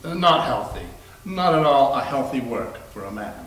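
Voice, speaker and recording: A man's voice: mid-range voice, very animated, moderate pace, fairly bright tone. A man in a readers theater playing a courteous, henpecked academic doctor, hesitant and fussy. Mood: disapproving. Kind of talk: readers theater acting and narration. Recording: echoey room, faint background noise.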